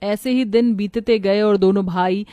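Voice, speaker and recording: A woman's voice: medium pitch, fairly even intonation, a little slow, dark tone, clear, resonant tone, dry recording, clean studio sound. A woman narrating a scripted moral story as a storyteller.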